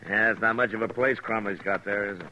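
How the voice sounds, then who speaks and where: An actor playing a steady, considerate American frontier lawman with halting, laconic speech in a 1950s radio western. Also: on the low side, lo-fi sound, close to an everyday voice. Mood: easygoing. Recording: faintly noisy old radio recording.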